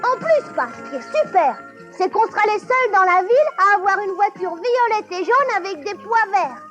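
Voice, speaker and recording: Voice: very high-pitched, sing-song, moderate pace, muffled recording, exaggerated voice. An actor voicing a mischievous, curious little boy in a 1980s cartoon dub, talking in an eager, naive chatter. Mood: cheerful. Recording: cartoon soundtrack with loud music.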